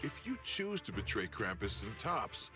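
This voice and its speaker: A man's voice: on the low side, big pitch swings, unhurried. An actor playing a smug, menacing video game enforcer who taunts and talks down to his opponents. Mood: taunting. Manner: taunting, condescending. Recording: game music behind the voice. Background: game music.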